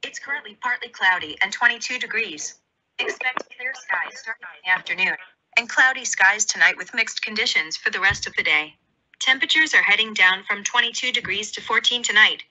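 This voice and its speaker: A woman's voice: mid-range voice, brisk, sharp tone, poor audio. A synthetic woman's voice reading out as a phone assistant, clipped and impersonal. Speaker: phone voice assistant and screen reader. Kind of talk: synthetic readout.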